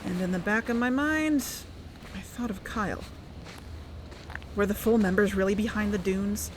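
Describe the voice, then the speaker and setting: A woman playing a shaken young narrator, telling a tense first-person story in a measured, confiding way in an audio drama. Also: medium pitch, background noise, close to an everyday voice. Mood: uneasy, tense. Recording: music bed under the voice.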